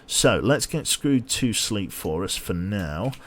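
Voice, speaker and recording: A man streaming a game with casual commentary, a little tired, his voice slightly nasal and a bit raspy, chatty as he thinks aloud and thanks viewers. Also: fairly low pitch, expressive, slow pace, a bit bright.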